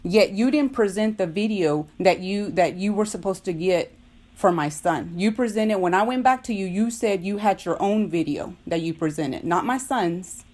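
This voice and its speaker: A middle-aged woman speaks as a parent lodging a complaint in a phone dispute. She is firm and insistent, often circling back to repeat her point, in a voice of ordinary pitch. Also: moderate pace, darkish tone. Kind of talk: tense phone conversation, disputing.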